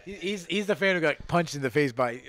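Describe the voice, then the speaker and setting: A man's voice: fairly high pitch, lively intonation, a little fast, a bit bright. A man hosting a casual live podcast, joking around and making mock announcements. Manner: joking, hype-announcer delivery. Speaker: podcast host.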